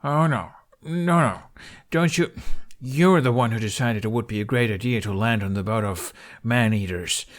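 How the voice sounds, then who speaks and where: A man's voice: medium pitch, normal speed, natural voice. A man voicing an impatient, indignant teenage boy dragon rider in an audiobook, protesting in an exasperated, emphatic way.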